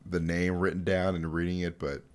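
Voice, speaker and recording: A man's voice: sits low, flat delivery, moderate pace. A young man giving casual video commentary in an informal, jokey way. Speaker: YouTube host explaining a horror series. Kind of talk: casual commentary with dramatic read-out passages.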